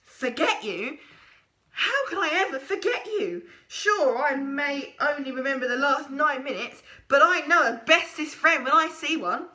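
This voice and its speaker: A huge male monster with the mind of an excited child, rambling loudly from one thought to the next in a bright, high, bouncing voice, as a woman reading a storybook puts him on. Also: unhurried, exaggerated voice. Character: giddy forgetful hulking male monster, childlike.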